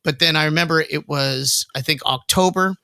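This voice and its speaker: A man's voice: normal speed, bright, forceful tone, no room sound, clean studio sound. A man with a tenor voice hosting a podcast, chatting informally and repeating phrases for emphasis. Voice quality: self-described high tenor voice. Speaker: podcast host. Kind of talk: casual podcast chat about own experiences.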